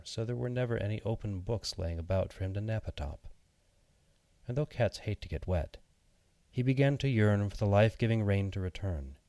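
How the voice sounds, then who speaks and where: Low-voiced man reading a storybook. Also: a little mellow, no room sound, studio quality. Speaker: audiobook narrator. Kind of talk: scripted children's storybook narration.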